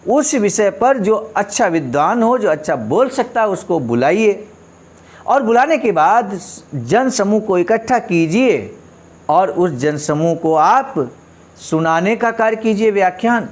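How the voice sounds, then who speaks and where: A man's voice: high-pitched, animated, a little slow. A man delivering a motivational address, reading out quotations and explaining them in an emphatic, didactic way with rhetorical questions and repeated points. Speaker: speaker explaining a leader's speech.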